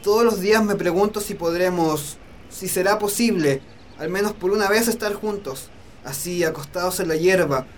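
A man's voice: high voice, moderate pace, slight background noise, close to an everyday voice. A man playing a humble, lovelorn young farmhand in a romantic radio drama, earnest and poetic. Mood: wistful.

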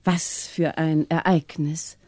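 An actress playing a frail, genteel older bereaved wife in a 1960s German radio play, speaking hesitantly. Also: female voice, sits low, little pitch movement, a little mellow, no room sound. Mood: uneasy. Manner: hesitant, halting.

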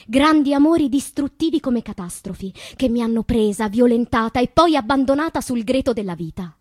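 An actress playing a tormented, wistful woman poet in a theatrical monologue, dramatic and deliberate, with a breathy, husky voice. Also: a little high, no room sound, clean studio sound, close to an everyday voice. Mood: bitter, anguished. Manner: dramatic, slow deliberate delivery with hushed passages. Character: tormented woman poet recalling destructive loves, wistful and intense.

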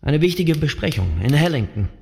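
A self-important businessman frets about his meeting in a radio play. His pitch swings in a lively way, and a long hall echo hangs on his words. Faint hiss sits behind. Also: brisk, creaky. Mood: impatient. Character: impatient businessman, train survivor.

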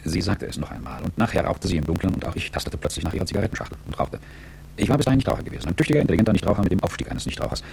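A low, quick-spoken man in a radio drama, a calculating and world-weary executive looking back on his life. His telling is articulate and reflective, with a gravelly edge. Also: very animated, a little mellow, a little hiss or hum, heavily acted. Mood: reflective, sober. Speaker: narrator and lead character. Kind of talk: radio drama first-person narration.

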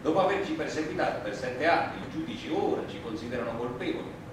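An actor playing a plain-spoken, indignant immigrant worker on trial, in simple, emphatic theatrical oratory. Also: male voice, medium pitch, animated, close to an everyday voice. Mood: indignant, impassioned. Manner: simple, emphatic, deliberate working-class oratory. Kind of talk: theatrical courtroom monologue. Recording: echoey, distant stage recording, clear noise.

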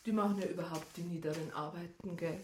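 A woman's voice: fairly low pitch, fairly even intonation, fast talker, darkish tone, a little hiss or hum, close to an everyday voice. An actress playing a no-nonsense woman in a radio crime drama, colloquial, stern and instructive. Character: brisk, no-nonsense Bavarian woman.

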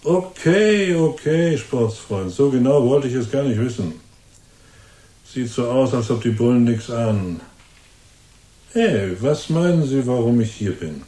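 Male actor as taunting cold-blooded killer, unhurried, mellow voice, roomy sound, radio crime drama. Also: medium pitch, animated, lightly acted. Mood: sneering. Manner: mocking, drawn-out, menacing taunts.

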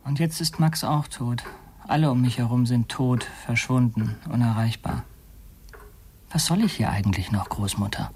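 A man's voice: medium pitch, moderate pace, darkish tone, slightly rough. An actor playing a stubborn, disillusioned teenage boy in a radio drama, speaking with earnest determination. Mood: forlorn. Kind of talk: German radio drama acting.

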